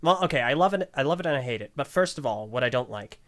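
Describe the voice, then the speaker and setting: A man's voice: mid-range voice, expressive, quick pace, fairly bright tone. A man hosting a casual panel podcast and giving product opinions, often breaking into giggles as he talks. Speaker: podcast host.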